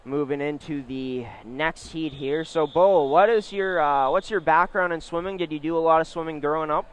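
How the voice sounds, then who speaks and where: A man calling a swim meet live as play-by-play commentator, with measured announcer phrasing. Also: on the high side. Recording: steady clear background venue noise. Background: swim meet ambience.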